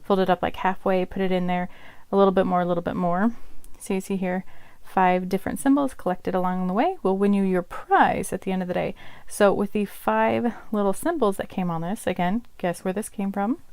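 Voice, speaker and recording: A woman's voice: medium pitch, steady tone, fairly quick, hardly any pauses, a little mellow, smooth, dry recording. A young woman whose first language is Polish, chattily walking through a homemade puzzle project in a casual tutorial. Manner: chatty, explanatory, occasional laughs. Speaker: craft vlogger.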